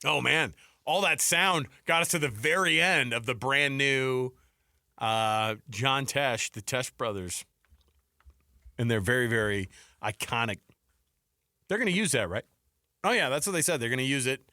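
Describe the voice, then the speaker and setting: A middle-aged man hosts sports talk radio in a bright, very animated voice. He banters casually and repeats phrases for emphasis. The sound is dry and close. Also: medium pitch, a little slow, long pauses, dry recording. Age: 40s or older. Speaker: sports radio show host.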